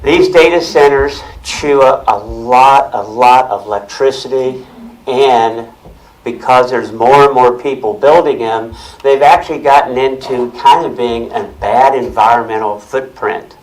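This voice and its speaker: A man giving a loud, plain-spoken talk to a club audience, often repeating and restarting words as he explains. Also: medium pitch, a little slow, poor audio. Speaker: guest speaker on technology. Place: meeting room with audience. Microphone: handheld or stand mic with speaker system.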